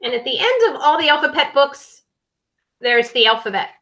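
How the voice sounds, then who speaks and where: A woman's voice: high voice, sing-song, moderate pace, a bit bright, a little hiss or hum, low-quality recording. A woman reading a children's story aloud, dramatically and with careful emphasis on sound words.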